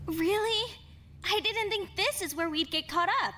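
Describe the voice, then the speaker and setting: A very high-pitched young woman, played by a woman, hesitant and conciliatory, like a cartoon character trying to keep the peace. There is faint noise in the background. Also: measured pace, sharp tone, full character voice. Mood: tentative, peacemaking. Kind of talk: animated murder-mystery voice acting.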